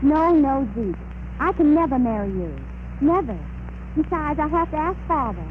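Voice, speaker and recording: A noisy old radio recording carries a sweetly naive farm daughter in her late teens. The voice is very high, earnest and homespun, played for comedy. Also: big pitch swings, moderate pace, phone-quality sound. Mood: stubborn, earnest. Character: cheerful naive farm girl, nearly eighteen. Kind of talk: 1930s radio comedy acting.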